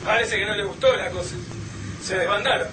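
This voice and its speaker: Actor as brash high-pitched Argentine militia fighter. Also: male voice, fairly quick, noisy background, close to an everyday voice. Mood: gruff, wry. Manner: brash, slangy, excitable. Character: rough, cocky working-class militia fighter battling aliens. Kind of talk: radio drama acting. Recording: distant, loud music and battle crowd.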